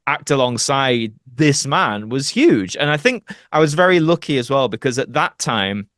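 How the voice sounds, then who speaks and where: A man's voice: medium pitch, fairly bright tone, no room sound, studio quality. A man with a Yorkshire accent, telling rambling, colloquial anecdotes as an actor being interviewed. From Doncaster, Yorkshire, England. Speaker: actor, podcast guest. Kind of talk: casual interview storytelling.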